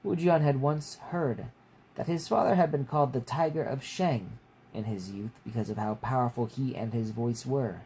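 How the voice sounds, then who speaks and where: Male storyteller, mid-pitched, reading his own fiction informally, with noticeable background noise. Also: normal speed, darkish tone, low-quality recording. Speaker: author reading own book. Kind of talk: story narration with casual asides.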